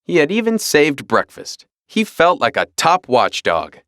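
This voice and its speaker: A man narrating a children's read-along storybook in an expressive, dramatic storytelling manner. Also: a little high, unhurried, dry recording, studio quality.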